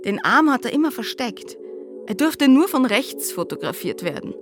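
An actress playing a reflective psychoanalyst in a radio drama, talking conversationally and asking herself questions. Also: female voice, a little high, very animated, normal speed. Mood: musing, bemused. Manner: conversational, pondering, rhetorical questions. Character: reflective female psychoanalyst, musing wryly on history. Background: music.

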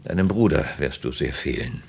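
An actor playing a gentle, earnest older father in a radio drama, speaking in a measured, solemn, fatherly manner. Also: rumbling low, lively intonation, vocal fry, slight background noise, full character voice. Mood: tender, solemn. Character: gentle, earnest father blessing his departing grown son.

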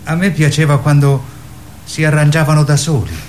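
An actor playing a timid, simple-minded boy in a radio drama, halting, stammering and literal. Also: on the high side, darkish tone, slight background noise, close to an everyday voice. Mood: wistful, musing. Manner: halting, stammering, repeats himself.

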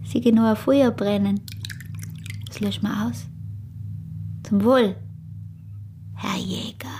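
An actress playing a scheming, defiant peasant wife in a radio drama, with an Austrian accent and a taunting, often hushed delivery. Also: female voice, medium pitch, steady tone, slow, faint music behind, natural voice.

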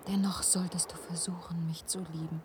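Actress as soft, low-voiced young lover. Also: female voice, level tone, normal speed, background noise, lightly acted. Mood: tender, wistful. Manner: soft, hushed, pleading. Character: Lena, gentle, earnest young woman in love. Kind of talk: German radio drama acting.

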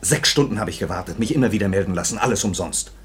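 A man's voice: on the low side, lively intonation, racing, natural voice. An actor playing an agitated, disillusioned young man, urgent and bitter, in a 1950s German radio drama. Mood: bitter, shaken. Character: agitated, disillusioned grown son facing bitter truth.

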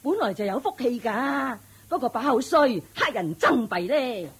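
An actress playing a harsh, scolding elderly mother-in-law in a radio drama, with a husky, snapping, scornful voice. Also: fairly high pitch, very animated, measured pace, full character voice. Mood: furious. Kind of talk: Cantonese radio drama acting.

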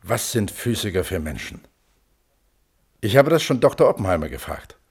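An actor playing a sceptical, reflective panel member who questions a scientist pensively and probingly in a German radio play. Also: male voice, lowish voice, sing-song, normal speed, a little mellow, slightly rough, full character voice.

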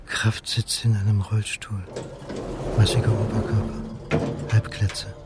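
An actor playing an anxious, dogged historian turned amateur detective, in radio drama dialogue and inner monologue. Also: male voice, lowish voice, little pitch movement, slow pace, loud music behind the voice. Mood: sober.

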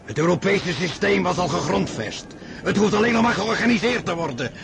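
Proud weary emperor, gravelly, fairly high male voice, declamatory, radio play. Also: animated, fairly quick. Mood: grandiose, weary. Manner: declamatory, imperious. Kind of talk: radio drama acting. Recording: radio play with loud battlefield rumble behind.

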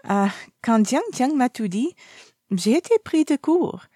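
A woman reading the part of a playful, nosy, dramatically indignant young man in a story, animated and mischievous. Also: fairly high pitch, slow, husky, no echo, studio quality, pitched above the performer's own voice, full character voice. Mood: teasing.

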